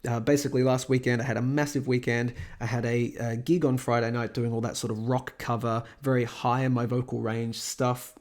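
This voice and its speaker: A man with a croaky voice from a cold, talking casually as the host of a market commentary and chart walkthrough. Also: mid-range voice, level tone, a little fast, few pauses. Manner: rambling, lots of fillers and restarts. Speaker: host of a crypto price analysis channel.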